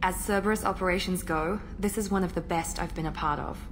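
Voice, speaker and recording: An actress playing a composed female operative in a video game, with a crisp, controlled delivery. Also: on the low side, fairly even intonation, a little fast, a little hiss or hum, lightly acted. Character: cool, composed female operative, loyal and precise.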